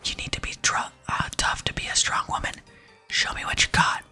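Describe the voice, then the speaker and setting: A woman's voice: fairly quick, bright, forceful tone, faint music behind. A woman whispering the game dialogue of a tough, teasing, motivational barbarian trainer in ASMR style. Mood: motivating. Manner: blunt, coaching, whispered.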